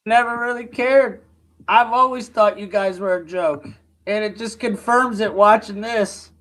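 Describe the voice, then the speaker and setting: High-voiced mocking man, slow talk. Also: a bit bright. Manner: mocking, laughing ranting. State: sore throat. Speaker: livestream host. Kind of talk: live reaction commentary.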